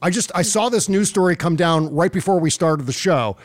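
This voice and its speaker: Male American political podcaster, a touch high in pitch, conversational and emphatic, recorded very dry. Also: expressive, brisk, no room sound. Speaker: podcast host. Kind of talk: casual political commentary.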